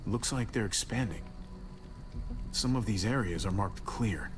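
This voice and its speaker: Low-voiced, level-headed male survivor. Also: a little slow. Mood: focused, practical. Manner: calm, earnest, plain-spoken. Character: level-headed, reassuring adult male survivor, quietly determined. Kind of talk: naturalistic dramatic game acting. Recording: music behind the voice.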